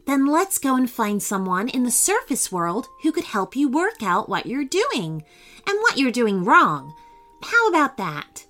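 A woman voicing a kind, curious mermaid in a children's story, gentle and encouraging. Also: high voice, sing-song, measured pace, faint music behind, pitched above the performer's own voice, exaggerated voice. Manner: bright, gentle, inquisitive.

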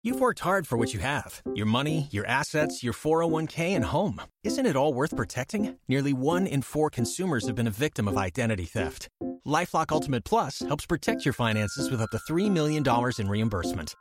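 A man's voice: medium pitch, sing-song, a little fast. A man reading a commercial in an earnest, persuasive way. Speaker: ad announcer. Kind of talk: scripted radio commercial.

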